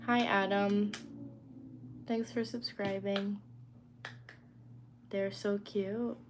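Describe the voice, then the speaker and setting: A young woman talks loosely and chattily into her phone on a home live stream, answering viewers as their comments come in. Her pitch sits in a middling range. A faint hiss of ambient noise stays under her voice. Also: flat delivery, very slow. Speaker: livestreamer. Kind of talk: casual live stream chat. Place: indoors at home.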